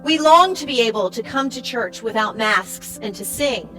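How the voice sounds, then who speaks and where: A woman with a high voice narrates from a script for a church summary. She reads in a measured way, with music clearly playing behind her. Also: animated, sharp tone, poor audio. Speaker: narrator, church project team member.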